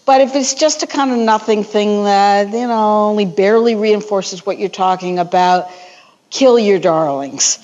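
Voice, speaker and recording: A woman who gives walking tours, sharing tips in a panel discussion in an animated, chatty way with many fillers. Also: mid-range voice, moderate pace, dull audio. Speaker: walking tour guide, panelist.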